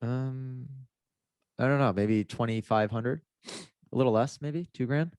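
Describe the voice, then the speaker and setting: A man's voice: medium pitch, unhurried, a little mellow, clear, resonant tone, dry recording. A man chatting casually in a podcast, rambling and laid-back with lots of fillers, his nose a little stuffed up. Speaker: motocross racer.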